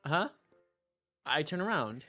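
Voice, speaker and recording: A man's voice: fairly high pitch, very animated, takes it slowly, bright, forceful tone. A man streaming a visual novel playthrough, narrating and reading the player character's part. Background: music.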